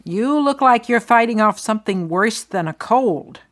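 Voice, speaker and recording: A woman playing a concerned, blunt best friend in soap-opera dialogue for English learners, speaking deliberately and clearly. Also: fairly high pitch, lively intonation, lightly acted.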